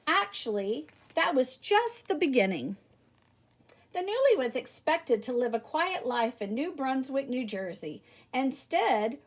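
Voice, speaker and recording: A high-voiced woman reads a children's story aloud. Her pitch swings widely as she leans into the emphasis like a teacher addressing young listeners. The delivery is animated and theatrical. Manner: animated, theatrical emphasis with exclamations and rhetorical questions. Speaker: teacher reading a picture book aloud.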